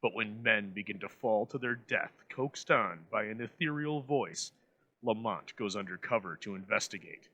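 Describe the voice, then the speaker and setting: A man hosting an old-time radio show, reading scripted narration with formal, measured phrasing. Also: lowish voice, sharp tone, low-quality recording. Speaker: host introducing old radio episodes.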